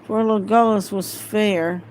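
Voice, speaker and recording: An amateur woman reader works through a fantasy novel at a slow pace. She is hesitant, breaking phrases apart and stumbling over words. It is a home recording with faint noise behind her voice. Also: mid-range voice, steady tone, darkish tone. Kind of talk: halting read-aloud of a fantasy novel.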